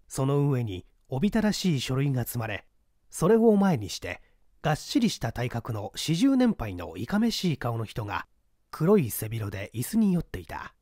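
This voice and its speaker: A man narrating a mystery story aloud in a theatrical, expressive reading style. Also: mid-range voice, no room sound, studio quality. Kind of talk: scripted audiobook narration.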